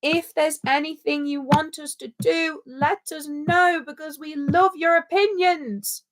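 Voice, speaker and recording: A woman's voice: sits high, steady tone, unhurried. A woman co-hosting a casual livestream chat, speaking with passion and repeating her points for emphasis. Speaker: co-host.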